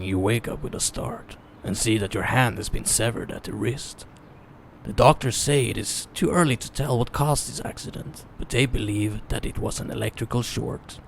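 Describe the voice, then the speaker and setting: A man narrating a story as a dramatic reading, theatrical in manner with deliberate pauses. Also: mid-range voice, a little hiss or hum.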